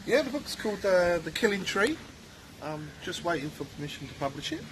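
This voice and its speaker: A man answers interview questions at an outdoor cafe. He speaks earnestly but hesitantly, filling gaps with ums. Clear background noise runs under his voice. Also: sits high, sing-song, a little fast. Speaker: guest, author and former soldier.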